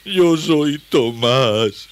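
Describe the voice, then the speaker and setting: A man's voice: fairly high pitch, expressive, voice pushed up. A man voicing a desolate creature from a children's story, sobbing and whining as it drags out its words. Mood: tearful misery. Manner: slow, drawn-out sobbing whine. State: crying. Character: desolate, weeping creature under a bridge, forever sad.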